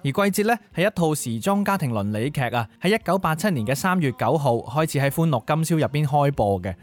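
A man's voice: on the high side, expressive, quick pace. A man speaking Cantonese co-hosts a radio music show, narrating pop history in an informative style.

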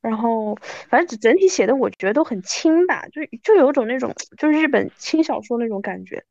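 A woman's voice: on the high side, expressive, a little fast, darkish tone, no echo, highs cut off. A woman in her thirties chatting casually as a podcast guest, in a self-deprecating, conversational way. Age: 30s.

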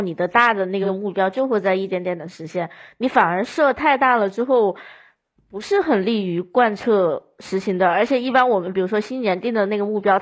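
A woman talking casually as a speaker on a podcast, in an everyday conversational way. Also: mid-range voice.

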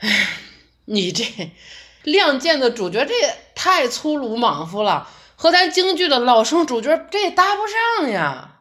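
A cautious, old-fashioned male theater director in an audio drama , played by a woman, who keeps cutting in to refuse ideas. The voice is a little high, with a very lively, bouncing pitch. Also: heavily acted. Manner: flustered, interrupting, quick to refuse. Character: conservative middle-aged male theater director, dismissive. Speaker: director character.

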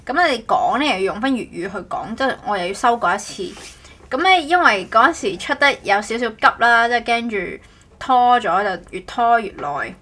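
Animated woman podcaster with a husky edge. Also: a little high. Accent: Cantonese. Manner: halting, self-correcting. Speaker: podcast host. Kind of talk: casual chat about making an audio show.

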